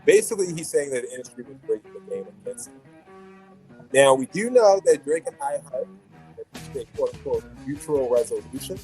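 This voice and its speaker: A man's voice: mid-range voice, big pitch swings, a little fast, dark tone, faint music behind, poor audio. A man hosting an opinionated panel debate, blunt and needling in the way he talks. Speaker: host of a hip-hop debate show.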